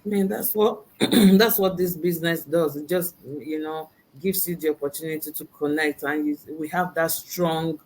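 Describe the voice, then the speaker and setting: A middle-aged woman from Nigeria answering interview questions over a video call, soft-spoken and hesitant with many "you know"s. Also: deep voice, steady tone, moderate pace, poor audio. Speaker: guest, educator and business owner.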